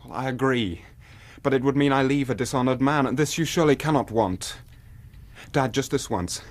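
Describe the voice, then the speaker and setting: Man acting a desperate young British gentleman. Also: mid-range voice, normal speed, natural voice. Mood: pleading. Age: young adult. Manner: restrained, formal, earnest. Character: desperate, courteous young gentleman gambler pleading with father. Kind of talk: BBC radio drama acting.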